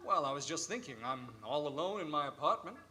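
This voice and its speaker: An actor playing a friendly, earnest bachelor lawyer in a 1940s-style radio play, speaking in an affable, casual, slightly hesitant way. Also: male voice, on the high side, lively intonation, fast talker, pushed and bright, soft background music.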